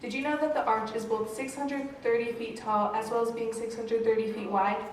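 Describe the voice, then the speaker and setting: Higher-voiced woman presenting in echoey room. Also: fairly even intonation, normal speed, slight background noise. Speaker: student presenter. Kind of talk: scripted presentation to an audience. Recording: very echoey room, distant mic.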